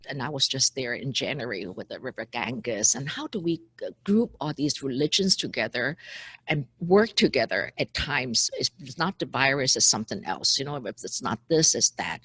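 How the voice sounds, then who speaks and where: A woman's voice: extremely low pitch, fairly bright tone, husky, no room sound. A woman in her seventies from Hong Kong, an immigration lawyer, earnestly giving a recorded talk that works through a list of points and questions. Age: 70s.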